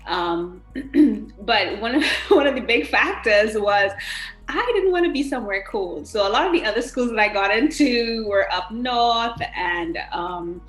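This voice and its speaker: A woman's voice: on the high side, expressive, a little slow, a bit bright, soft background music. A woman giving conversational interview answers as a podcast guest in a roomy, echoing space. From Trinidad.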